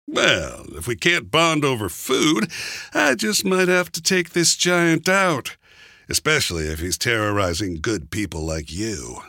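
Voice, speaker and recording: A man's voice: mid-range voice, big pitch swings, unhurried, sharp tone, no room sound, studio quality, exaggerated voice. A man voicing an upbeat, cocky storybook coyote who talks in flippant, casual slang. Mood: breezy confidence. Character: cocky, upbeat trickster coyote, unfazed by danger.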